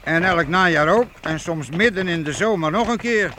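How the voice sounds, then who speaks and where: A man's voice: high-pitched, moderate pace, pushed and bright. An actor playing a fatherly, hearty medieval guild master in a radio play, with a folksy, jovial manner. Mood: earnest, fatherly. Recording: noisy old radio play recording.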